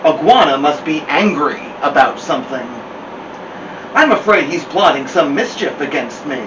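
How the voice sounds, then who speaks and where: A man's voice: on the high side, lively intonation, moderate pace, distant mic, highs cut off, noisy background, lightly acted. A man voicing a big, worried, suspicious python from a storybook.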